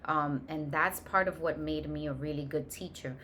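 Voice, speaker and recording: A woman in her forties talks in a low voice, introducing herself to the viewers. She goes at an easy pace, filling gaps with ums and fixing her phrasing as she goes. A faint hiss of noise sits under the recording. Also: a bit bright. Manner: unhurried, many ums, loose self-corrections. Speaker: course host, education founder. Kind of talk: personal introduction, casual talk to camera.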